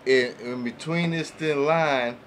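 A man's voice: medium pitch, slow pace, a bit bright, a little hiss or hum. A man talking casually and colloquially in a podcast panel, often checking that listeners follow. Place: small indoor podcast room. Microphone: boom-arm studio microphone.

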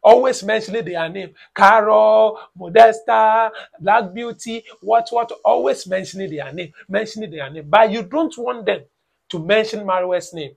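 A man's voice: sits high, normal speed, creaky. A man in his thirties with a Ghanaian English accent, talking to camera at home in a lecturing, emphatic vlog, repeating his points. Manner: lecturing, emphatic, repeats phrases, mocks with "blah blah". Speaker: YouTube reaction vlogger. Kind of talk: opinion vlog commentary. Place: small room at home, indoors.

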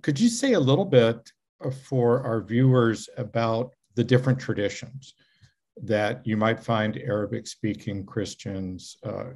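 An older man hosting an interview over a video call, speaking in a measured, reflective way as he frames his questions. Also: medium pitch, darkish tone. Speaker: interview host. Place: living room at home. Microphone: video call webcam.

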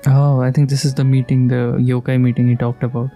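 A woman's voice: very deep voice, level tone, brisk, dark tone. A woman giving casual, giggly playthrough commentary, reacting aloud to a video game. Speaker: gaming streamer. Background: game music.

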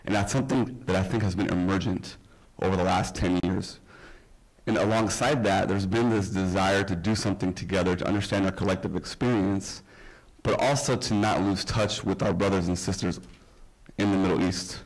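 Very low, flat-voiced man asking politely. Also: rough recording. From Iran. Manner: polite, measured, a little hesitant. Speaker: audience member asking questions. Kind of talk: audience question at a conference.

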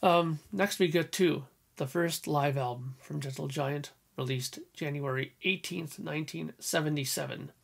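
A man with a hoarse voice casually talking through his record collection in a small home room, clearing his throat often. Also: a little high, moderate pace, hardly any pauses. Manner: enthusiastic, frequent throat clearing. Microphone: headphones on, webcam or desk mic.

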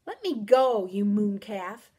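A woman's voice: fairly high pitch, sing-song, normal speed, darkish tone. A woman voicing a headstrong young girl heroine in an audiobook story, exclaiming with strong emotion. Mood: impatient, defiant.

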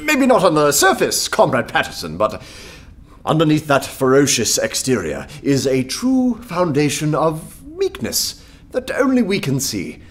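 A radio-play anarchist making a speech to his comrades. His voice sits fairly high and moves up and down a lot, earnest and uneasy as he pleads how meek he is. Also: huge pitch swings, measured pace. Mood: earnest, placating. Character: anarchist poet pleading meekness in a nervous election speech.

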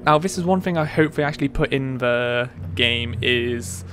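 A man's voice: medium pitch, normal speed, a bit bright. A man giving casual commentary over a video game. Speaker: let's play commentator. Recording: game music behind the voice. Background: video game music.

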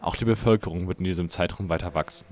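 Deep-voiced male news reporter. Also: fast talker, darkish tone. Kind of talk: scripted narration.

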